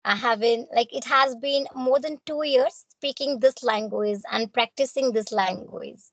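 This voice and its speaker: A woman's voice: fairly high pitch, a little slow, no echo, lo-fi sound. A young woman with a North Indian accent and Hindi as her first language, speaking English in a formal, courteous, earnest way in a practice conversation. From Punjab, India.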